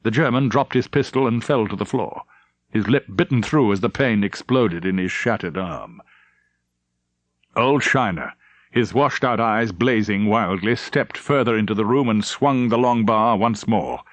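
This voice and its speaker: A man's voice: lowish voice, moderate pace, dry recording. A man narrating a novel as a scripted, dramatic audiobook reading.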